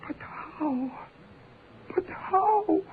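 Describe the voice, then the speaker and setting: A woman's voice: extremely high pitch, animated, extremely slow, full character voice. An actress playing a distraught, disbelieving young woman with a wailing, melodramatic manner in a radio detective drama. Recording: lo-fi, noisy old radio recording.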